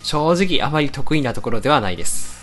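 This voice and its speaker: Low, animated, politely flustered woman co-host. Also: brisk, soft background music. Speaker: co-host of an internet radio show. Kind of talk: casual chat.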